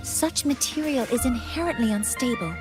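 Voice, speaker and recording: A woman playing a strict, composed female professor in a video game, speaking in a measured, formal, matter-of-fact way. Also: on the high side, lightly acted. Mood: stern, composed. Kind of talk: scripted game dialogue. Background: music.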